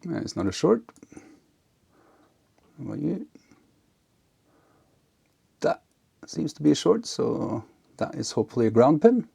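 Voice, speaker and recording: A man giving casual, unscripted hands-on narration as an electronics hobbyist, halting and half talking to himself as he works. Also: on the high side, very animated, creaky, dark tone, husky. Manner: halting, muttering to himself while working.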